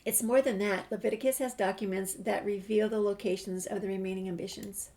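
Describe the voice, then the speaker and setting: A woman with a creaky voice, reading a game's documents and dialogue aloud as a gameplay streamer. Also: medium pitch, steady tone, a little fast, warm tone. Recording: faint background noise, game ambience.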